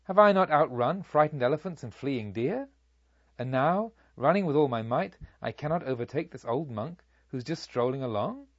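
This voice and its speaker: A man playing a proud, vengeful robber chief who tells his own tale in measured, formal storytelling. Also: mid-range voice, expressive, dry recording, highs cut off. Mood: baffled, indignant. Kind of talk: audiobook story narration in character.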